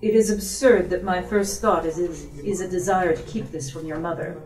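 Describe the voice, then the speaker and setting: A woman author voices a grave, formal Regency gentleman in a storybook reading, speaking with careful hesitation and restraint. The sound is roomy and a little distant from the microphone. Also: fairly low pitch, steady tone, moderate pace, lightly acted. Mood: grave, restrained. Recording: echoey room, distant microphone.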